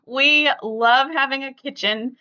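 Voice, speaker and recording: A woman's voice: high-pitched, takes it slowly, pushed and bright, highs cut off. A middle-aged woman hosting a podcast, chatting casually and emphatically with family. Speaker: podcast host.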